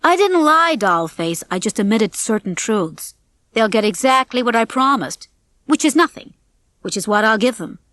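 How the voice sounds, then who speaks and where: A woman's voice: on the high side, expressive, normal speed, a bit bright, dry recording. Woman doing a breezy, cocksure slangy rooster. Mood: unfazed. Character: Rocky, breezy cocksure male rooster, smooth-talking chancer. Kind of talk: acted storybook dialogue.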